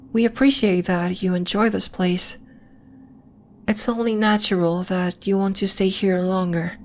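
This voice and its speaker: A woman voicing a gentle, lofty alien moon healer in an ASMR roleplay, speaking in a soothing, formal, faintly condescending and measured way. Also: medium pitch, slight background noise, natural voice. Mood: lofty, intrigued. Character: gentle, lofty female lunarian healer, celestial moon being. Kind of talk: ASMR roleplay, scripted, addressed to the listener.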